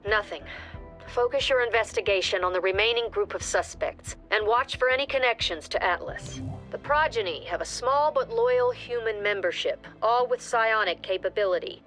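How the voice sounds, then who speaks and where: A composed female agency director from a video game, voiced by a woman. She gives mission briefings in a measured, commanding way. Soft music plays beneath her voice. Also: a little high, lightly acted, rough recording.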